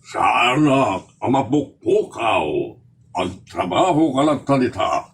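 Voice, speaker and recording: A man's voice: mid-range voice, animated, takes it slowly, slightly rough, faint music behind, exaggerated voice. A man playing a reluctant, grumbling fellow in an Ilocano comedy drama, with an Ilocano accent and a hesitant, drawling delivery.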